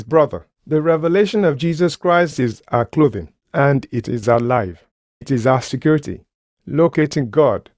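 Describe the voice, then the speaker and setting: A man delivers a sermon as a preacher in a mid-pitched voice. He is emphatic, circling back over key phrases and posing rhetorical questions. The sound is dry, with very little room echo. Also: darkish tone.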